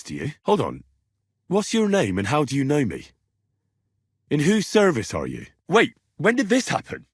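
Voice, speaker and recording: A man's voice: mid-range voice, slightly rough, no room sound, studio quality. A man voicing a young medieval man-at-arms in a video game, earnest, cheeky and plain-spoken, talking in a direct, inquisitive way. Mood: probing, then angry, alarmed. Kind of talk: video game dialogue acting.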